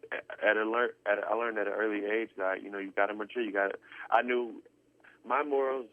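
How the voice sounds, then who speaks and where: A young man from Texas, speaking in an earnest, rambling way, full of restarts. His voice sits fairly low and comes through a tinny, narrow phone line. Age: 20s. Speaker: guest drummer. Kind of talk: casual interview chat.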